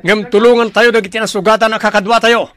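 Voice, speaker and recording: A man's voice: high voice, level tone, fast talker, a bit bright. An actor with a Filipino accent playing a bold young warrior in a radio drama, resolutely rallying his fighters.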